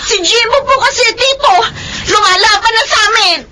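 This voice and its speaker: A woman's voice: very high-pitched, brisk, background noise. An actress playing a combative young mother and elder sister in a Filipino radio drama. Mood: pleading. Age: young adult.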